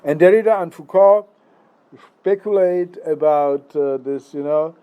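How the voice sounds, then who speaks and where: A man's voice: a little high, clear, resonant tone, narrow, tinny audio. A man in his sixties, a native Czech speaker, giving a rambling philosophical monologue with many fillers and restarts. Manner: halting, many fillers and restarts, tag questions.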